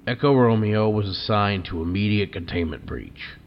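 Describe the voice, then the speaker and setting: A man playing a defensive scientist testifying about a disaster in an audio drama, grave and deliberate. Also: lowish voice, slight background noise, close to an everyday voice. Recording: dull lo-fi sound.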